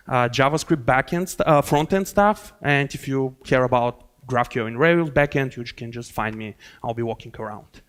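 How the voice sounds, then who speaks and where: A man with a Bulgarian accent presents a technical talk at a conference. He explains in a loose, rambling way, full of fillers and restarts. His voice sits at a middle pitch. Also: clear, resonant tone. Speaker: conference speaker. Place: conference hall.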